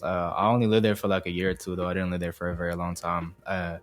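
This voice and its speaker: A man's voice: low voice, level tone, fast talker, soft background music. A young man, a singer and producer born in Indonesia and raised in the US, chatting casually as an interview guest in a laid-back, slangy way. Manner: laid-back slangy talk, constant "you feel me". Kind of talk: casual chat.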